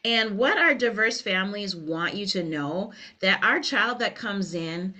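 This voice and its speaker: Bright-voiced Liberian woman presenter. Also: mid-range voice, lively intonation, unhurried. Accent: Liberian English. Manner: earnest, conversational, many "you know" fillers. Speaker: workshop presenter. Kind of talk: webinar presentation.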